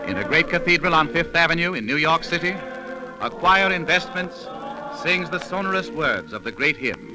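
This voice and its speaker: A man's voice: on the high side, very animated, a little fast, rough recording. A man narrating a scripted 1930s radio program in a dramatic, formal storytelling manner. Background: music.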